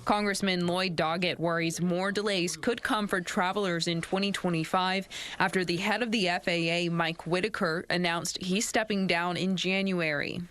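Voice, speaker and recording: A woman's voice: on the low side, fairly even intonation, normal speed, hardly any pauses, fairly bright tone. A woman TV reporter delivering a scripted live news report. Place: live on location at an airport. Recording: clear background noise, on location.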